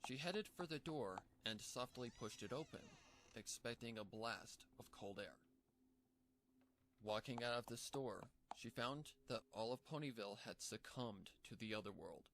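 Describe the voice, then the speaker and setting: A man's voice: medium pitch, lively intonation, moderate pace, fairly bright tone, a little hiss or hum. A man reading a horror story aloud as a dramatic narrator. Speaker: fan fiction narrator.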